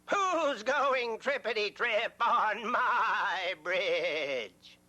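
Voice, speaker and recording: A man voicing an angry, bossy bridge troll who yells drawn-out threats. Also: high-pitched, expressive, voice pushed up, heavily acted.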